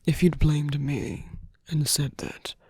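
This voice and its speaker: A performer voicing a seductive, protective villain in an intimate romantic ASMR roleplay, gently coaxing in a halting, husky voice that often drops to a whisper. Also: medium pitch, level tone, dry recording, studio quality. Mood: concerned, indignant. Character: seductive villain, protective, tenderly persuading an abandoned hero.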